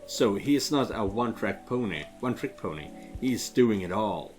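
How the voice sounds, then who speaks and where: A man with a fairly low voice chats casually as he plays a game. He rambles and hunts for words, with faint music underneath. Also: animated, normal speed. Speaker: video game let's player.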